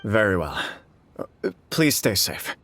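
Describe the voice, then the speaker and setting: An actor playing a courteous, earnest shogunate official in a video game, formal and deliberate in speech. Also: male voice, on the low side, very animated, fairly bright tone, slight background noise, heavily acted. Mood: concerned, deferential.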